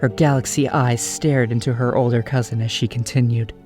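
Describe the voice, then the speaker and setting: Storybook narrator, mellow tone, mid-pitched, scripted audio drama prose, music behind. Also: fairly even intonation, brisk.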